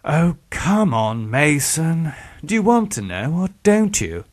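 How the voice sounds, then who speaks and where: An arrogant killer surgeon from an audiobook thriller, voiced by a male narrator. He is sardonic and theatrical, mocking with false affability, and his voice swings up high. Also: sing-song, slow pace, no echo, studio quality, full character voice. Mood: condescending.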